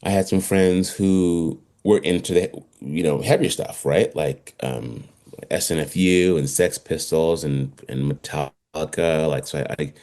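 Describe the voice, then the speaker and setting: Deep-voiced man in his fifties, talking casually. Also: a little slow, a little mellow. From Trinidad, moved to Canada around age twelve. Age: 50s. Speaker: interview guest, drummer. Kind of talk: casual interview storytelling.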